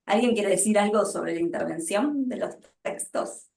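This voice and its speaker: A woman's voice: mid-range voice, normal speed, gravelly, a little mellow. A woman speaks emphatically and with animation in a conversational interview. Her voice carries the echo of a roomy space. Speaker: translator and publisher.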